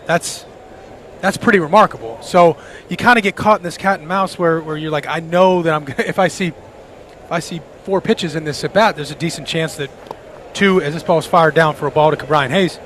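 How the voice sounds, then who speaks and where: A man's voice: on the high side, brisk, fairly bright tone, a little hiss or hum. A man giving conversational baseball analysis as a radio color commentator, rambling as he thinks aloud. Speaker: color commentator, former player.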